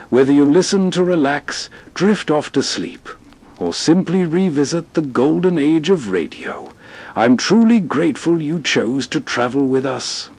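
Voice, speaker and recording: A man's voice: on the high side, darkish tone, breathy edge. A man hosting with scripted, bedtime-style narration. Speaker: host narrator.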